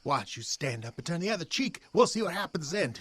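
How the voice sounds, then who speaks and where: High-voiced, defiant young farm son. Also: male voice, sing-song, fast talker, a bit bright, husky, no room sound, exaggerated voice. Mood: angry, challenging. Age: young adult. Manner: defiant, plain country speech. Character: proud, defiant, impetuous young farmer's son. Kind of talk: period audio drama acting.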